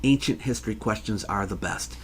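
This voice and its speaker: A man hosting an educational talk, answering a question in a measured, conversational manner with rhetorical questions. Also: fairly low pitch, a little hiss or hum. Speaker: host, history educator.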